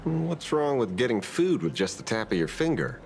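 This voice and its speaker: A man's voice: medium pitch, sing-song, moderate pace, creaky. An actor voicing a blunt, mocking man in a game dub, arguing with incredulity. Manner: incredulous, argumentative. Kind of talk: dramatic game dubbing. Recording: music behind the voice.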